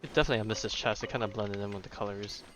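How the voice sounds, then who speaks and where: A man's voice: lowish voice, rapid-fire, noisy background. A man giving casual live gameplay commentary as a streamer, rambling and offhand. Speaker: game streamer.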